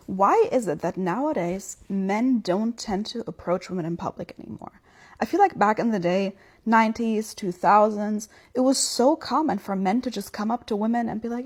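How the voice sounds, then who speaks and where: A woman's voice: on the high side, a little mellow, breathy edge. A woman asking a chatty question to camera in a social media clip.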